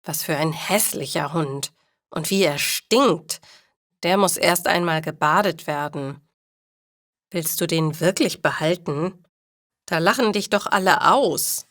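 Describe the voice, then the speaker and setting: A woman voicing a blunt but soft-hearted parent in a storybook, frank and dryly disapproving. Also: sits low, takes it slowly, no echo, clean studio sound. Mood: disgusted, mocking.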